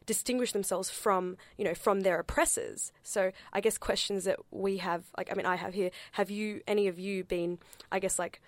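A woman's voice: mid-range voice, steady tone, moderate pace, dry recording. A young woman with an Australian accent whose first language is not English, speaking in a discussion with many fillers and restarts. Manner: hesitant, full of fillers and restarts. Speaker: panel speaker.

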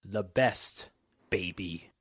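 A man's voice: sits low, very slow, husky, full character voice. An actor playing a menacing American gangster henchman in a 1940s radio drama, talking in sneering, slangy tough-guy style. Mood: smug.

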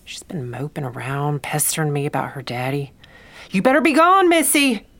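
An actress playing a blunt, superstitious folk healer with a Southern US accent in an old-time radio style drama. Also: female voice, low-pitched, sing-song, moderate pace, fairly bright tone, exaggerated voice. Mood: scolding, exasperated. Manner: blunt, bossy, folksy.

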